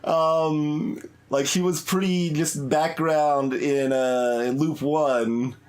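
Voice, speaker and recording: A man's voice: on the high side, fairly even intonation, slow pace, a little mellow. A man giving casual, rambling playthrough commentary as a video game streamer, musing aloud as he goes.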